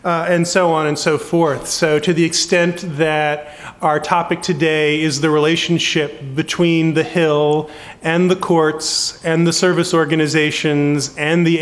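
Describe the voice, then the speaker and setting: A middle-aged man with a somewhat high voice introduces a keynote speaker from a lectern. He is brisk and modest and keeps his remarks short. He speaks into a lectern microphone in a conference hall. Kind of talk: formal introduction.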